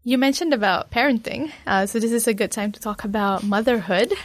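A woman hosting an interview, asking questions gently and encouraging her guest with soft murmurs. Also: on the high side, a little fast, no room sound. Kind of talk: casual conversational interview.

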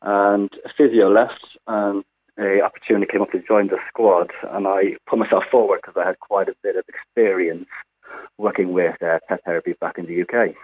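A man's voice: low voice, steady tone, fairly quick. An Irish man, a hospital physiotherapist, explaining his work in a chatty way with many fillers during a radio phone interview. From Ireland. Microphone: phone line. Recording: tinny phone line.

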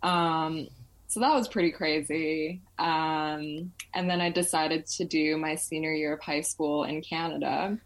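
Young woman guest, low pitched, chatty and loose, lots of "like" and "um". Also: fairly even intonation, unhurried. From Malaysia. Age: 20s. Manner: chatty, filler-heavy, laughs often. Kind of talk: casual interview chat.

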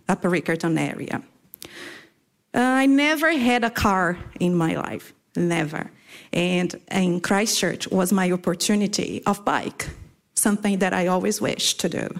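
A woman from Brazil with a Brazilian accent, a native Portuguese speaker, giving an informal talk at a community event, halting, with many fillers and restarts. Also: fairly low pitch.

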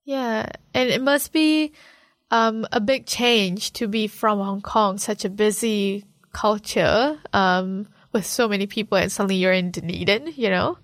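Woman interviewer, slow and gentle, warm murmured encouragement, dry close recording. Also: mid-range voice, dry recording. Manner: soft-spoken, encouraging with frequent murmured acknowledgements. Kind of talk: conversational interview.

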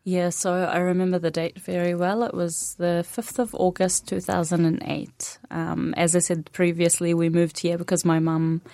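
Palestinian woman, native arabic speaker, young adult, low voice, soft-spoken, many pauses, dry studio sound. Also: little pitch movement, gravelly, no echo. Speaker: interview guest. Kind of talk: radio interview conversation.

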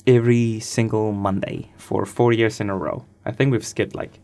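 Male, late teens, from Chile. Radio guest with a smooth, lowish voice and a casual, hesitant delivery. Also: fairly even intonation, moderate pace, darkish tone. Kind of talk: casual interview answers.

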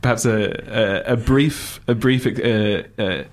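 A man from the UK with a British accent softened by New Zealand speech, talking about volunteering with frequent pauses and restarts. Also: on the low side. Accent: British with New Zealand touches. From United Kingdom. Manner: halting, many fillers and restarts; upbeat and enthusiastic. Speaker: Red Cross volunteer coordinator. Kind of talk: radio interview guest.

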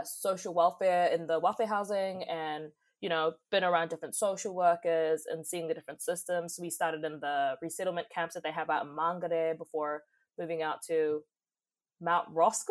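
A woman's voice: deep voice, moderate pace. A young woman, reflecting thoughtfully and expressively in a conversational interview. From New Zealand. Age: young adult. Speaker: podcast guest, youth advocate and poet.

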